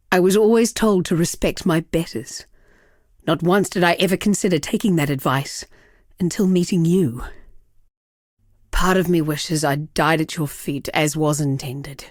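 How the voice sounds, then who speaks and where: An actress playing a proud, seductive, unrepentant villainess sorceress in a video game, with a measured, theatrical, sardonic delivery. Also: female voice, fairly low pitch, no room sound, clean studio sound. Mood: wistful, sardonic. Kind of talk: dramatic video game character acting.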